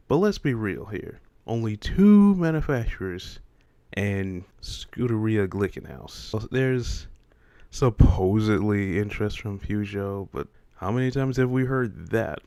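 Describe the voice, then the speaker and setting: A man with a fairly low voice delivers casual, opinionated commentary as the host of a video. His manner is exasperated and full of rhetorical questions, and the sound is dry and close. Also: a little mellow, no room sound.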